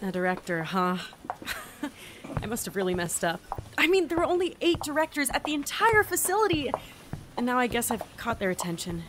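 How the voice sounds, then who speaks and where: A woman's voice: on the high side, big pitch swings, normal speed, background noise. A woman playing an eager, flippant but anxious interdimensional agent in an audio drama, chatty, hesitant and self-deprecating. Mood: wry, resigned. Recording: musical backing. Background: music.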